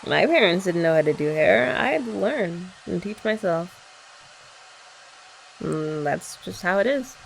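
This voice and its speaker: A woman's voice: on the low side, animated, measured pace, gravelly, long pauses, low-quality recording. A young woman in a playful, teasing ASMR roleplay, chatting as a girlfriend while her hair is dried. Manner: playful teasing chat, soft-spoken. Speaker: ASMR creator. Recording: faint hair dryer noise behind.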